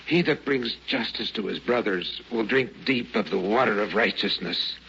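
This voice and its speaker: An actor playing a gentle, selfless old desert prophet in an old-time radio drama, speaking in solemn scriptural phrases. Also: male voice, on the low side, moderate pace, vocal fry. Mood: solemn, kindly. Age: older. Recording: dull old radio recording, noisy background.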